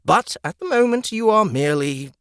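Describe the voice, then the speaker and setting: A man's voice: high voice, very animated, moderate pace, fairly bright tone, clean tone, no room sound, clean studio sound, voice pushed up, heavily acted. A man voicing a pompous, lecturing lizard guide in a fantasy audiobook, condescending and theatrical. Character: pompous, exasperated ninety-one-year-old male lizard guide, youthful-looking. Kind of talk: audiobook character acting.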